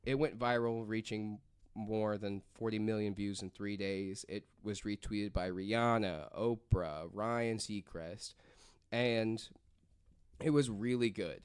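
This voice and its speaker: A man's voice: fairly low pitch, steady tone, slow pace, clean tone, no echo. A man presenting a story on a casual podcast, reading from notes and rambling through asides peppered with "like".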